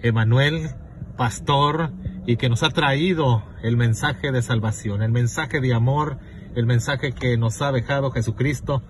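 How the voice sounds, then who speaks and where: A man's voice: medium pitch, background noise. Male interviewer, easygoing. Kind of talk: broadcast interview hosting.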